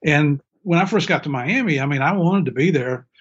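A man's voice: on the high side, expressive, brisk, fairly bright tone, husky, muffled recording. An older man with a country Southern accent from Tennessee and West Virginia, telling stories as an interview guest in a folksy, self-deprecating way. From Middle Tennessee and Southern West Virginia, USA. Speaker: former drug enforcement agent, interview guest. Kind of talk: casual interview storytelling.

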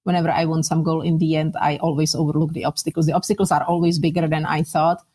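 Mellow middle-aged Czech-accented woman, lower-pitched. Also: flat delivery, fast, breathy edge. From Czech Republic. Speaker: interview guest. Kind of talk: conversational personal recollection.

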